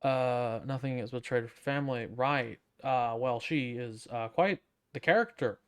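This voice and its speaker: A man's voice: mid-range voice, moderate pace, a bit bright, clear, resonant tone. A man giving casual gameplay commentary as a streamer, with wry, offhand, muttered asides. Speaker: streamer playing a game.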